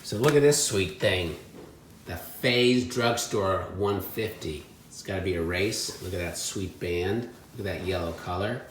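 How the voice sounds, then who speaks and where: A man's voice: deep voice, measured pace. A man co-hosting a secondhand haul video, chatting off the cuff with enthusiasm and prompting his partner. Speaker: co-host of a thrifting haul video. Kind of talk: casual unscripted chat and commentary. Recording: roomy echo, some background noise.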